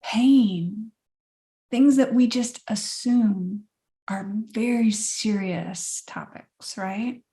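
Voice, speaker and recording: A woman co-hosting a podcast, talking in a slow, thoughtful, reflective way and searching for words. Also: medium pitch, warm tone. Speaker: podcast co-host and coach. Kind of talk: reflective casual chat.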